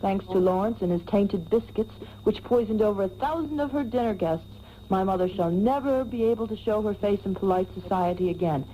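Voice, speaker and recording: An actress playing a haughty, prim, indignant young society heiress in a melodramatic comic radio soap. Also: female voice, mid-range voice, a little fast, dark tone, lightly acted, rough recording. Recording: taped radio with faint hum.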